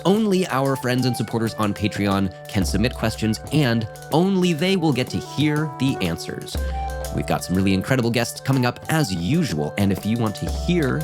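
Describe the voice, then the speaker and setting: A man's voice: medium pitch, sing-song, normal speed, music in the background. A man hosting a podcast in a conversational, effusive and appreciative way. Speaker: podcast host.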